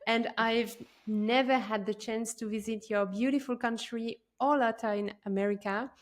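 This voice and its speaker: Woman host, interview podcast, measured and slow. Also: a little high.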